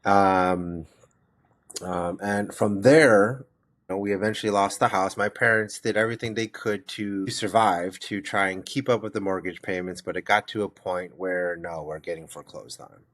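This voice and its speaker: A man's voice: low-pitched, fairly even intonation, normal speed, a bit bright. A man in his thirties from the United States, a guest telling his life story in a casual interview, rambling with restarts and fillers.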